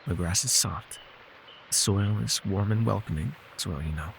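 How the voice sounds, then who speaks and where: Guy doing an immortal elf healer for fantasy asmr, lowish creaky murmur, soothing n a bit wry, formal old-timey wording. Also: slight background noise. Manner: measured, formal archaic phrasing, soothing. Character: gentle, weary immortal male elf healer, wryly teasing. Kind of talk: intimate fantasy ASMR roleplay.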